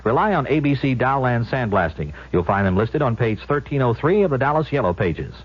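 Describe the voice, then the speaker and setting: A man's voice: medium pitch, expressive, a little fast, heavy background noise. A man reading a scripted local radio commercial in a vintage announcer style. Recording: dull vintage radio broadcast.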